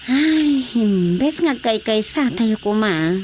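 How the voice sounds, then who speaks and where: A woman's voice: fairly high pitch, lively intonation, slow, darkish tone. A woman playing a caring grown daughter at home in a radio drama, with faint noise behind her. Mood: concerned, soothing.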